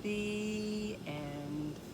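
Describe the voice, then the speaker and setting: A woman reading a picture book aloud as a story time host, speaking deliberately and expressively to young children. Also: mid-range voice, very noisy. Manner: deliberate, expressive, addressing young listeners directly.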